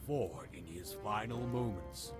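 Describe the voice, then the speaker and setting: A man's voice: on the low side, measured pace, over music, lightly acted. A man playing a solemn, dramatic storyteller narrating the prologue of a fantasy game.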